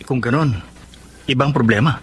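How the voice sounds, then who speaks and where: A man's voice: medium pitch, normal speed, fairly bright tone, husky. A man playing a nosy, mischievous workmate in a Filipino radio drama, prodding and teasing as he talks. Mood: curious, teasing. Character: nosy, mischievous male buddy from work.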